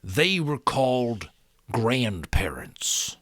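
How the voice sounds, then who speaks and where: A man voicing a wise, patient old male mentor in an audiobook, measured and gently probing. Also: mid-range voice, very animated, no room sound, clean studio sound, heavily acted. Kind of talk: audiobook character voice.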